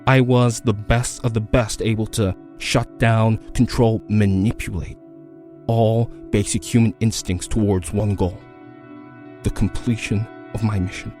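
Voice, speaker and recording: A man's voice: lowish voice, steady tone, measured pace, a little mellow, faint music behind, close to an everyday voice. A man performing a dramatic monologue as a hardened, menacing military veteran, controlled and intense. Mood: controlled menace.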